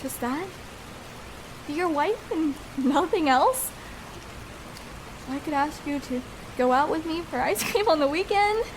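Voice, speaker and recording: A woman's voice: high voice, expressive, unhurried, long pauses, background noise. A young woman playing a shy, vulnerable escort in an intimate ASMR roleplay, speaking hesitantly and tenderly with small stammers. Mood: hesitant, surprised.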